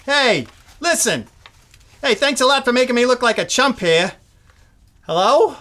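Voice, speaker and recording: Jumpy, bright-voiced young studio newcomer. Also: male voice, very high voice, lively intonation, a little slow, full character voice. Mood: startled. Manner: folksy, nervous, muttering to himself, exclaiming. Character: eager, jumpy young male new radio studio employee. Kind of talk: live old-time radio drama acting. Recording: live stage recording with faint noise.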